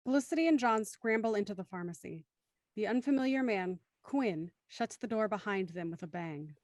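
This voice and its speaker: Female narrator, medium pitch, dry studio-like sound, scripted stage-direction storytelling, audio drama. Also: expressive, moderate pace, darkish tone, no echo.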